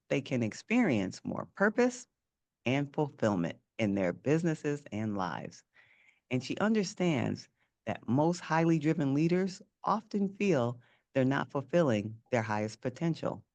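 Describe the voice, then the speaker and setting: A woman hosting a podcast interview, friendly, often restarting and rephrasing her questions. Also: extremely low pitch. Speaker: podcast host.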